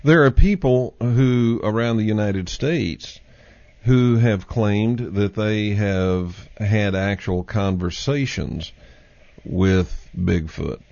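A man's voice: on the low side, slow pace, a little mellow, no echo, lo-fi sound. Texan man hosting a talk show. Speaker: host. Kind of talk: casual chat on a call-in show.

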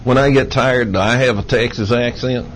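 A man's voice: medium pitch, steady tone, noisy background. A man hosting a call-in talk show, taking calls and reading out the phone number. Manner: brisk, friendly, reads phone number.

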